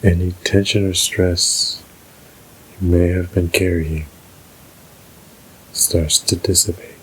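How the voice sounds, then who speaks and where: A man narrating a scripted guided meditation in a soothing, measured, unhurried way. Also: sits low, little pitch movement, a little hiss or hum, rough recording.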